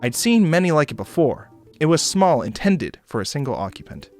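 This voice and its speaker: A man reading a story aloud as a streamer, in expressive narration with casual asides. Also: fairly high pitch, brisk, soft background music. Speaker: streamer narrating a visual novel.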